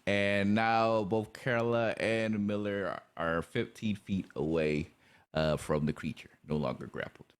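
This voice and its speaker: Slow, low-voiced man narrating game moves. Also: steady tone, clear, resonant tone. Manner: measured, hesitant. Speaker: player in a tabletop game.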